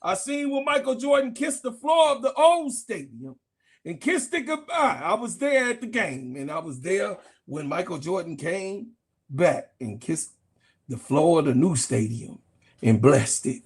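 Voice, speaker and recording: Husky high-voiced man ranting. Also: sing-song, a little slow. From Chicago, USA. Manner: profane, repetitive, argumentative. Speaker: sports channel host. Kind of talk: heated casual sports rant.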